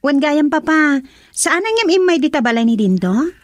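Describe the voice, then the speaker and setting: A woman's voice: high-pitched, sing-song, normal speed, no echo. A woman with an Ilocano accent playing a cheerful young daughter working abroad, in a radio drama. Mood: warm. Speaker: daughter character.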